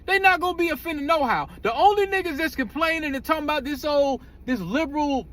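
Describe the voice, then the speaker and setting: Very high-pitched man, blunt and profane. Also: animated, brisk, fairly bright tone. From United States. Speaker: commentary host. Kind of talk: casual opinionated commentary.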